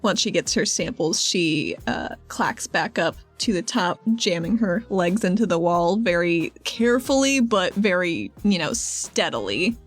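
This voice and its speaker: A woman's voice: on the low side, very animated, measured pace, hardly any pauses. Casual female tabletop player, music behind. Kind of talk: casual narration of her character's actions.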